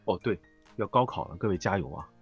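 Husky, lively-pitched man chatting. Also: fast. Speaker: voice actor, interview guest. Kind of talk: casual interview chat. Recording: dull online call audio, music behind. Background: music.

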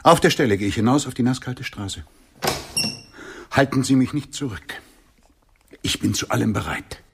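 A man's voice: mid-range voice, normal speed, creaky, heavy background noise, lightly acted. An actor playing a bitter, cutting homeless man in a radio play, speaking in a blunt, theatrical and insulting way. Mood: cold, pompous.

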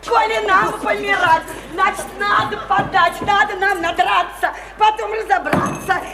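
Shrill brash boozy working woman. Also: steady tone, measured pace, creaky, reverberant, over loud music, over a noisy crowd, heavily acted. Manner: flirty, teasing, folksy and slangy. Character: brash, boozy, chattering widowed house painter, a woman. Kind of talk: theatrical radio play acting.

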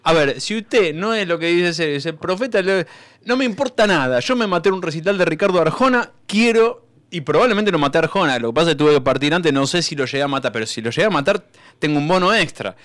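A man's voice: a little high, expressive, fast, fairly bright tone, dry recording. A man with an Argentine accent playing an insistent, pleading believer in the afterlife who complains about a denied reward in a comic radio sketch. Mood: pleading, demanding. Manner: insistent, pleading, complaining, formally addressing his superior. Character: aggrieved male believer in the afterlife demanding his promised reward.

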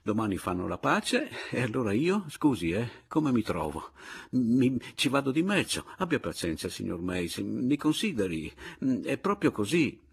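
A man voicing a servile, pleading male secretary in a story, evasive and ingratiating, with halting phrases. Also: medium pitch, very animated, gravelly, husky, voice pushed up, heavily acted. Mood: anxious, pleading.

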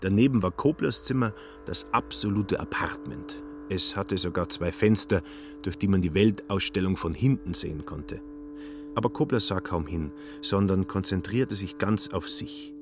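A man's voice: low voice, moderate pace, over music. A man narrating a literary radio drama.

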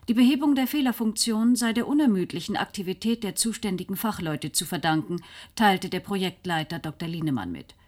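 A woman's voice: mid-range voice, darkish tone, close to an everyday voice. A woman playing a formal newsreader delivering a scripted bulletin in a German radio play. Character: formal female newsreader announcing space news.

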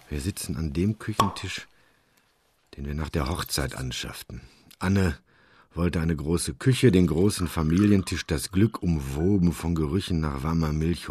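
Very low-voiced, bitter husband. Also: male voice, a little mellow, slight background noise. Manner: literary, bitterly detached. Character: bitter married man narrating his stale marriage, weary, observant. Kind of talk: first-person inner monologue in radio drama.

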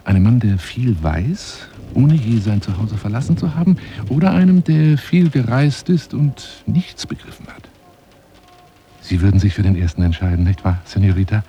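A man's voice: fairly low pitch, normal speed, dark tone, slight background noise, close to an everyday voice. An actor playing a lonely, self-important bookish man in a radio drama monologue, theatrical and rambling, often dropping to a near-whisper. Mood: musing, courting.

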